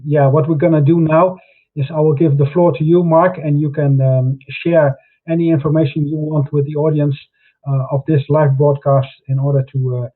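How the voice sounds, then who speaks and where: A man hosting a live online interview, talking haltingly with many fillers and restarts over a video call. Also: a little high. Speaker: host. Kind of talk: hesitant live interview introduction. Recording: tinny phone-like audio.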